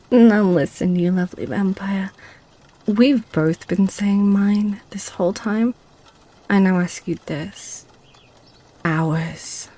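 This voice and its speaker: Woman playing a slow, mellow, seductive succubus. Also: medium pitch. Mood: playful, coaxing. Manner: teasing, sly, unhurried. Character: seductive, possessive, playful succubus. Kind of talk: ASMR roleplay audio drama.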